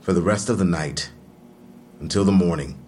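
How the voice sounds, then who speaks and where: A man's voice: low voice, moderate pace, darkish tone, slight background noise, natural voice. A man playing an aggrieved, defiant man under police questioning in an audio drama, speaking colloquially and emphatically. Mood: exasperated. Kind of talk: full-cast audio drama acting.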